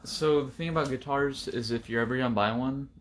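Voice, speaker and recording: A young man teases his friends in a loose, casual chat. His voice sits at an everyday pitch, with faint room noise behind it. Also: fairly quick. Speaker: guest.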